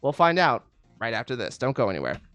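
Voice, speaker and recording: A man's voice: medium pitch, very animated, quick pace, clear, resonant tone, faint music behind. A man hosting an online game show in a showy announcer style, a little congested from a summer cold. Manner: showy announcer patter, calls out totals and ladies and gentlemen.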